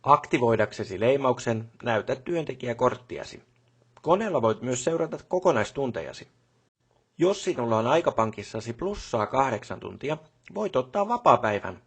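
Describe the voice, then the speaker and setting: An actor playing a businesslike male company boss in a video game, talking matter-of-factly in corporate jargon and brisk orders. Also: mid-range voice, expressive, husky. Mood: businesslike, instructive. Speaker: boss instructing employees. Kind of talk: video game character dialogue.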